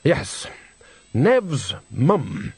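A man's voice: a little high, huge pitch swings, drawn-out words, a little mellow, breathy edge, no room sound, heavily acted. A man reading aloud and voicing an earthy, cheeky old woman witch who talks bluntly. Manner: blunt, exclaiming.

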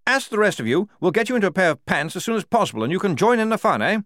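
A man voicing a blustering, hearty young army major for an audiobook, booming and jovial, tacking "eh?" onto his lines. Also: sing-song, rapid-fire, fairly bright tone, no room sound, clean studio sound. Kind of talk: audiobook character voice.

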